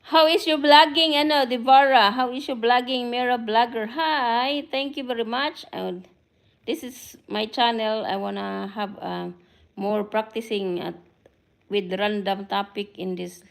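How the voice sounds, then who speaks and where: A woman's voice: a little high, fairly even intonation, creaky. A middle-aged woman from the Philippines with a Filipino accent, chatting live with viewers, greeting them by name and mixing in Filipino phrases. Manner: code-switches, greets commenters by name, self-described fast speaker. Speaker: vlogger hosting a livestream. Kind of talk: casual live chat with viewers.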